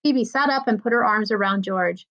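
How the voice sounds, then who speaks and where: A woman's voice: mid-range voice, moderate pace. A woman reading a children's story aloud expressively, as a school librarian would. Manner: expressive, acts out dialogue. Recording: dull lo-fi sound.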